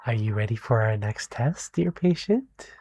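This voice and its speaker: A soft-spoken performer playing a gentle, kindly animal doctor in an ASMR roleplay, speaking soothingly and encouragingly with deliberate pauses. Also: mid-range voice, expressive, husky, no echo.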